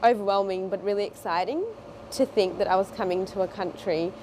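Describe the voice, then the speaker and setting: A woman's voice: medium pitch, fairly even intonation, fairly quick, dark tone, a little hiss or hum, rough recording. A young woman from Bangladesh with an Australian accent, speaking reflectively in a documentary interview and laughing easily.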